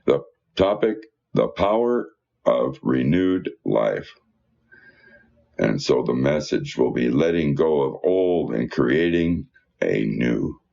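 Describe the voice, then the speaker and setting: A man's voice: extremely low pitch, big pitch swings, slow pace, vocal fry, dark tone, lo-fi sound. A man reading church newsletter announcements aloud in a folksy, conversational way with informal asides.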